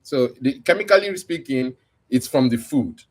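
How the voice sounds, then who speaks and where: Man, livestream host, medium pitch, casual chat, explanatory, conversational. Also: expressive, normal speed.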